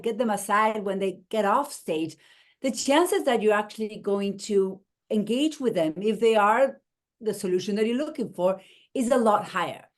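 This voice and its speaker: A middle-aged woman from Argentina with an Argentine accent, a guest explaining her business approach in a call interview, earnest and a little halting. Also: fairly high pitch. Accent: Argentine Spanish. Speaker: guest, marketing strategist.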